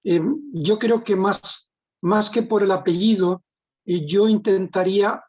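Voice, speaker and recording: A man lecturing in answer to audience questions in a measured, explanatory way. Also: high voice, steady tone, darkish tone. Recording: dull lo-fi online call.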